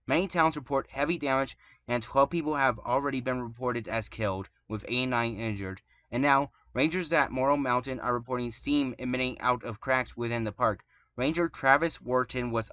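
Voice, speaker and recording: A man's voice: medium pitch, moderate pace, pushed and bright, no echo, close to an everyday voice. A man acting as a muffled male news reporter. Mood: serious, formal. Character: male TV news reporter covering an earthquake disaster. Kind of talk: scripted newscast acting. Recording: dull, lo-fi microphone sound.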